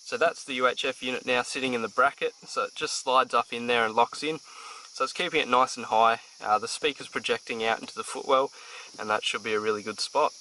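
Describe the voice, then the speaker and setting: A young man hosting a casual do-it-yourself car demonstration, talking in a relaxed, chatty way with plenty of "um" and "so". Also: mid-range voice, fairly quick, poor audio. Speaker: DIY car channel host. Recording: outdoor ambience with insect noise.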